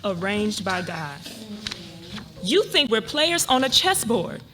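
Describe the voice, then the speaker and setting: A woman playing a wary, independent young college graduate in a live dramatic reading, skeptical, expressive and colloquial. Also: mid-range voice, slow pace, faint music behind, heavily acted. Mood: doubtful, tempted. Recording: noisy live room recording.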